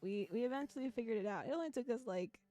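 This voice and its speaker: A young adult woman streaming in casual chat, with a quiet but clear voice, laughing often between her sentences. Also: fairly high pitch, animated, fast, no echo, faint music behind. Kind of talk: casual chat and reading a visual novel aloud.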